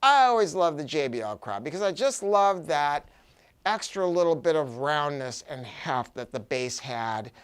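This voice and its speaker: An American man giving an enthusiastic, unscripted product pitch, chatty and emphatic, often repeating words for stress. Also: very animated, moderate pace, clear, resonant tone. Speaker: audio shop owner presenting a product review.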